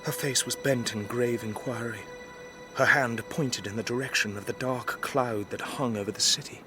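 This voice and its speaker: A man's voice: medium pitch, fairly even intonation, normal speed, music in the background, close to an everyday voice. A man with an English accent playing a courteous, earnest young drawing teacher of 1849, narrating and speaking formally in a literary audio drama. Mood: brooding, intrigued. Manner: well-spoken, formal. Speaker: narrator and lead actor.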